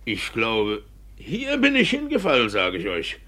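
An actor playing a battered, sly village judge in a 1950s radio play, grumbling evasively in a husky, halting voice. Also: male voice, medium pitch, wildly swooping pitch, full character voice. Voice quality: husky, gravelly. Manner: halting, muttering, then suddenly loud.

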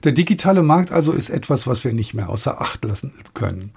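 A man's voice: medium pitch, very animated, fast talker, gravelly, a little mellow, husky. A man hosting a radio programme, delivering prepared links and questions to a guest. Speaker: radio host. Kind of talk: prepared moderation and interview questions.

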